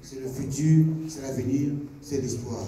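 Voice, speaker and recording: A Cameroonian man co-hosting a film club, speaking didactically to young people, deliberately and with repeated phrases for emphasis. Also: medium pitch, steady tone, crowd noise. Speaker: co-host of a film club discussion. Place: screening hall with audience. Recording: very echoey, noisy hall, distant microphone.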